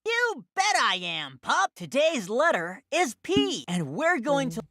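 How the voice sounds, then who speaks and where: A woman voicing an upbeat cartoon pup who presents lessons to young children in a sing-song, animated way. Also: high-pitched, slow pace, no echo, clean studio sound, exaggerated voice. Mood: cheerful, eager. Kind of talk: scripted children's educational cartoon dialogue.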